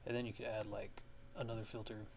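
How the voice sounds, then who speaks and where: A man's voice: fairly low pitch, little pitch movement, fast talker, creaky, darkish tone, slight background noise. A man giving a casual ASMR-style music production tutorial, thinking aloud in a hesitant, rambling way.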